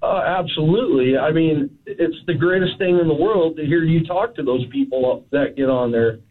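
Man, radio caller, stammering, gushing, husky, thin phone sound. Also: a little high, normal speed. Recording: tinny phone line.

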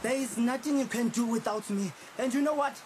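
A man's voice: very high-pitched, fairly quick. An actor playing a controlling, scornful man who mocks and laughs at his partner. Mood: mocking. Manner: mocking, laughs at her. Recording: noisy background.

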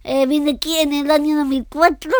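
A woman in her forties from Argentina giving simple, halting answers as an interview guest. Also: high voice, flat delivery, no echo, studio quality. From Argentina, Buenos Aires. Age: 40s. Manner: hesitant, halting, short answers.

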